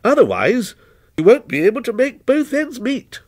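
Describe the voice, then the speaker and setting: A man putting on a cold, needling stranger with a husky edge. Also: very high voice, sing-song, moderate pace, no echo, studio quality, pitched above the performer's own voice, heavily acted. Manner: insinuating, needling questions. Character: aloof scornful man, coldly probing.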